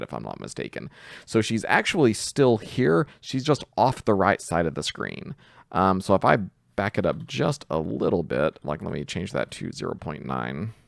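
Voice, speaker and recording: A man giving an informal screen-share software tutorial, thinking aloud hesitantly as he goes. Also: low-pitched, gravelly, no room sound, clean studio sound. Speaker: tutorial host, coding instructor.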